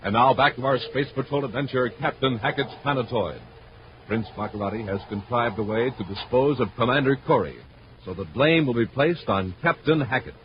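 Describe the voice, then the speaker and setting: A man's voice: mid-range voice, moderate pace, lightly acted. A man acting as a hearty, emphatic American announcer in 1950s radio style, on an old recording. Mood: suspenseful. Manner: emphatic, enthusiastic. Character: hearty grown-up male pitchman for boys' space toys. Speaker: announcer and commercial pitchman. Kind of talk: 1950s radio announcing, scripted sales pitch and narration. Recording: muffled, noisy vintage radio recording.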